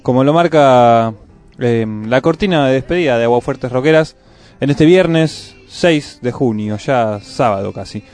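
A man's voice: medium pitch, unhurried, a little mellow, soft background music. A man with an Argentine accent co-hosting a community radio show in a casual, chatty style. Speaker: radio co-host.

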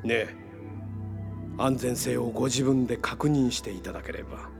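A man's voice: medium pitch, moderate pace, gravelly, music in the background, natural voice. An actor playing a confident psychotherapist who lectures in technical terms about his invention, in a radio drama. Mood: calm, instructing. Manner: lecturing, technical, self-assured. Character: confident male psychotherapist explaining his brain-linking invention.

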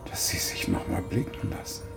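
A man's voice: loud music behind the voice, close to an everyday voice. An older man playing a wry, wistful elderly pensioner in a radio drama, musing with dry humour. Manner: slow, dryly humorous, musing.

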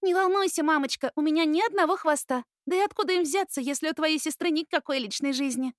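A woman voicing a bubbly, stubborn young student, a younger sister with excitable, girlish chatter, in an audiobook. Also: sits high, fast, no room sound, clean studio sound, pitched above the performer's own voice, natural voice. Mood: playfully complaining. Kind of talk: audiobook character acting.

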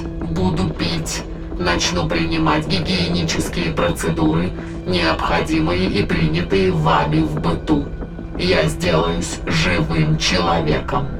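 An actor playing a cold, detached alien entity that inhabits a human body, speaking in measured, formal phrases with a gravelly voice in an audio play. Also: male voice, a little high, heavily acted, low-quality recording. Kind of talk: dramatic audio play acting. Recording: voice under prominent music.

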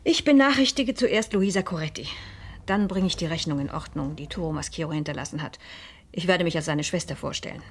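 An actress playing a curious, composed madame in a radio crime drama, speaking in a reflective, wry way. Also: female voice, low-pitched, fairly quick. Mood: practical. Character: curious, composed madame narrating her own dangerous case. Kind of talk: German radio crime drama acting and narration.

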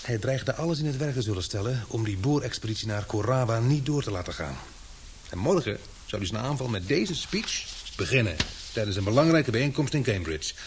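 A man acting in a Dutch radio drama as a sharp, suspicious investigator, speaking deliberately with hesitant pauses. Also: mid-range voice, a little hiss or hum, close to an everyday voice.

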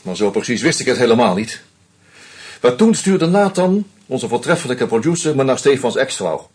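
An actor playing a shrewd screenwriter who methodically unmasks a killer, with a husky voice and dry irony. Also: male voice, medium pitch, sing-song, normal speed. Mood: accusing. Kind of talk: radio play acting.

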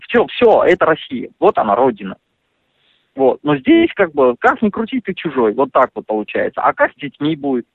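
A man talking over the phone, animated and indignant, full of fillers and restarts. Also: fairly high pitch, gravelly, breathy edge, no echo. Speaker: interviewee on a phone call. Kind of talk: casual phone conversation. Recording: dry tinny phone line.

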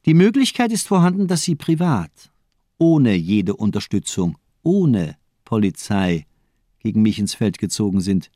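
A man playing a cold, cynical, calculating murderous doctor in a German radio crime drama, eloquent and deliberate, with coolly ironic phrasing. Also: lowish voice, sing-song, mellow, no room sound, clean studio sound. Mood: coolly analytical.

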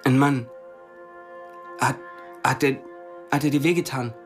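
A man's voice: mid-range voice, steady tone, a little slow, faint music behind, close to an everyday voice. An actor playing an earnest, devoted and protective boy in a radio play, speaking tenderly. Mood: concerned.

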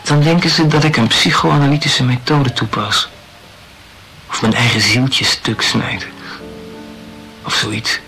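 An actor playing a brooding, wryly morbid male poet in a Dutch radio play, speaking reflectively and haltingly in a gravelly voice. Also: mid-range voice, fairly even intonation, pushed and bright, soft background music, low-quality recording. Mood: wry, mocking. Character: brooding, self-absorbed male poet, wryly morbid about his youth.

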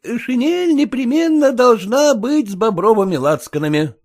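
A stubborn, obsequious petty clerk who whines and bargains in a high, wavering voice, as a male reader puts him on. He pleads and fawns in turns. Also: expressive, normal speed, darkish tone, exaggerated voice. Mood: wheedling haggling. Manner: haggling, whining, obsequious.

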